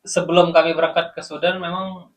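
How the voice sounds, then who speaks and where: A man with an Indonesian accent, a guest on a casual podcast, telling about his time as a student in Sudan with lively, laughing storytelling. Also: on the high side, fairly quick. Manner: animated storytelling, laughs while recounting.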